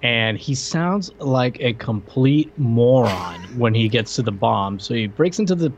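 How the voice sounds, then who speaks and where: Man, podcast co-host, animated recaps, muffled lo-fi audio. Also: medium pitch, measured pace, a little hiss or hum. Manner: animated retelling, quoting scenes. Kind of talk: casual chat.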